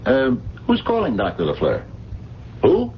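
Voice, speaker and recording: A man acting in a 1970s radio drama as a sardonic, world-weary working man with a dry, deliberate delivery. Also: medium pitch, huge pitch swings. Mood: sly, goading. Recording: muffled, noisy, humming vintage radio recording.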